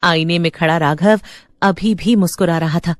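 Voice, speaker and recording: A woman's voice: fairly low pitch, normal speed, dry recording, clean studio sound. A woman narrator reading a scripted horror story in a dramatic storytelling style.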